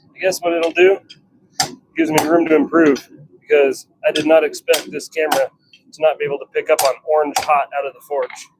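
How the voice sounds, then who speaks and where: A man's voice: a little high, lively intonation, fairly quick, vocal fry, background noise. A man chatting offhand on a livestream as he works in a workshop, grumbling and self-deprecating. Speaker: livestream host and hobby blacksmith. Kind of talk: casual live chat while working. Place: home forge workshop.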